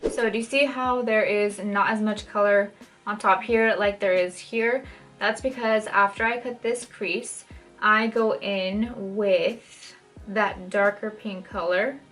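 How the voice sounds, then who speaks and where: A young woman with a raspy, dry-throated voice, talking viewers through a makeup tutorial in a chatty, casual way. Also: medium pitch, steady tone, slow pace, a bit bright, faint music behind. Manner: chatty, many "like" fillers, shifts pace often. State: dry throat, maybe catching a cold. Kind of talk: casual step-by-step tutorial talk. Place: home, in front of a mirror.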